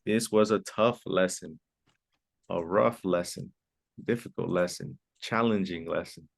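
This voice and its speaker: A man's voice: low voice, unhurried, gravelly. A man teaching an online English class, patiently repeating key phrases and sometimes explaining in Spanish. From Mexico.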